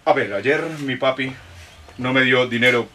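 A man's voice: medium pitch, steady tone, a little slow, sharp tone. A man from Colombia, a guest singer chatting casually in a radio studio and telling jokes. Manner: joking, tells jokes with acted voices. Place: busy radio studio, indoors. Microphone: studio mic with headphones, picked up from a distance. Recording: distant mic, noisy room.

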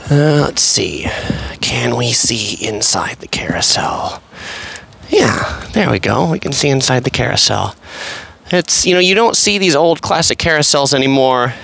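A man's voice: medium pitch, big pitch swings, a little slow, creaky, a bit bright, slight background noise. Chatty man narrating a walking tour. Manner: chatty, rambling, many fillers and asides. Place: outdoors on an empty seaside pier.

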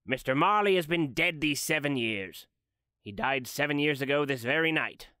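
A man's voice: fairly high pitch, lively intonation, normal speed, sharp tone, clean tone, no echo, clean studio sound. A man voicing a cold, grudging old miser in a dramatic storybook reading, curt and scornful.

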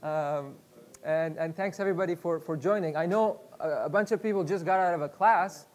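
A man from Iraq, in his thirties, talks to a room of students on a headset mic. His voice sits a little high for a man, and he speaks in a loose, chatty way, restarting phrases and filling pauses. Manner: chatty, repeats words, many fillers. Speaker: guest speaker. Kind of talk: live workshop talk. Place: lecture room with projector screen.

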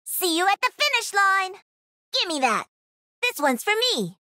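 A woman's voice: very high-pitched, very animated, unhurried, bright, forceful tone, dry recording, studio quality, full character voice. A woman voicing a cocky, playful young gamer who pilots a mech in a video game, with punchy, teasing callouts. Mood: excited, urgent. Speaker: voice actor.

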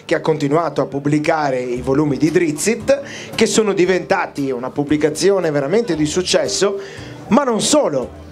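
High-voiced playful male interviewer. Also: expressive, moderate pace, darkish tone. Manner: playful, teasing questions. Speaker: interviewer, stage host. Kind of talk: casual interview. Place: festival stage tent outdoors. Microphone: handheld mic.

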